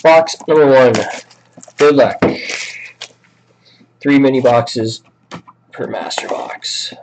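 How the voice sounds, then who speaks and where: A man hosting a sports card break, a bit sick with a raspy voice, listing names and teams and chatting casually. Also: medium pitch, slow pace, noisy background. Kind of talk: live card break commentary.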